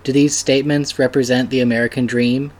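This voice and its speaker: A man reading an essay aloud at a table reading with plain, even narration. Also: medium pitch, fairly quick. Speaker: reader at a church table reading.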